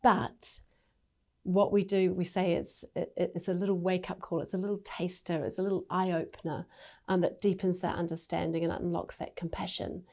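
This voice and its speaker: A woman's voice: on the low side, little pitch movement, moderate pace, dark tone. A woman who runs a charity, explaining its work fluently and earnestly in a conversational interview. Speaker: charity CEO.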